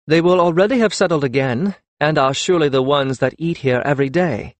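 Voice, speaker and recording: A man voicing a lively, mischievous young woman in an audiobook, in an animated way. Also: on the high side, a little fast, no echo, lightly acted. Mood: excited.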